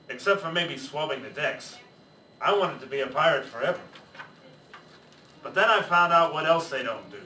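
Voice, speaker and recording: A man in pirate costume reading a picture book aloud to children, shouting the pirates' lines in a gruff voice. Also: on the high side. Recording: roomy, distant, faint background noise.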